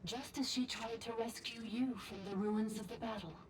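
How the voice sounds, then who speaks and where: A woman's voice: fairly high pitch, fairly even intonation, moderate pace, a crowd in the background, lightly acted. A woman playing an ancient sovereign priestess speaking through a robot in a video game, solemn, formal and cryptic.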